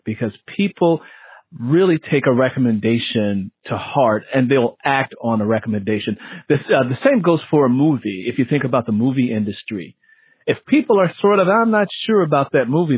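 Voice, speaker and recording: A man's voice: mid-range voice, breathy edge, dry recording. A man hosting an interview in a conversational style, often repeating and restating his phrases. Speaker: interview host. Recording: lo-fi phone-like radio sound, muffled.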